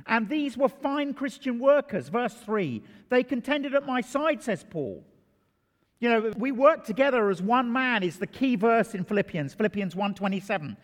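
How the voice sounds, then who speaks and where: A man preaching a sermon in a church, telling stories and stressing key phrases with emphasis. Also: very high-pitched. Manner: storytelling, emphatic. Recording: long hall reverb.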